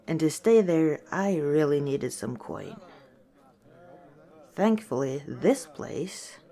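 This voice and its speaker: A woman playing a friendly, teasing orc tavern owner in a softly spoken ASMR roleplay, chatting gently and without hurry. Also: deep voice, lively intonation, slow, vocal fry, exaggerated voice. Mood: chatty, fond.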